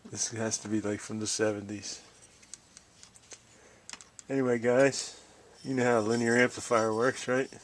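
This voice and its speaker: A man's voice: medium pitch, level tone, moderate pace, pauses often. A middle-aged or older American man giving a casual, folksy hands-on show-and-tell, musing as he goes. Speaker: DIY video host.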